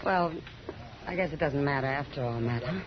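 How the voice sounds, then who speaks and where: A woman's voice: rumbling low, moderate pace. An American woman playing a bold, sardonic, plain-spoken frontier saloon woman in a 1950s radio western. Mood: rueful. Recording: dull, noisy 1950s radio recording.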